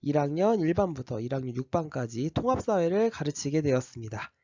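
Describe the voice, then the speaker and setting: Male teacher with a slight cold, lively pitch. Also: a little fast, dry recording, highs cut off. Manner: explains step by step, with many fillers and restarts. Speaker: high school history teacher. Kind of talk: recorded class orientation lecture.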